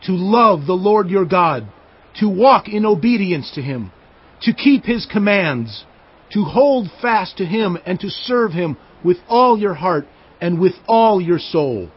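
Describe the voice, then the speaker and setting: An elderly Israelite leader addresses his people in a scripture drama. The voice is high and husky, solemn and emphatic in its commands. The recording is slightly dull. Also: lively intonation, unhurried, exaggerated voice. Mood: solemn exhortation.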